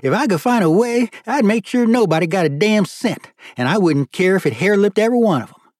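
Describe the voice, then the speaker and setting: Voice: mid-range voice, lively intonation, brisk, darkish tone, no room sound, studio quality, voice pushed up, natural voice. A narrator voicing a folksy, bitter, cussing judge in an audiobook story. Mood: bitter, indignant.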